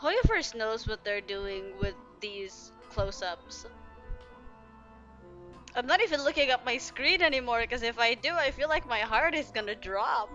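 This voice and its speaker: A woman's voice: a little high, animated, unhurried, bright, forceful tone. A young woman giving casual, fan-style reaction commentary on a game, recorded on a slightly dull lo-fi mic. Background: faint game music.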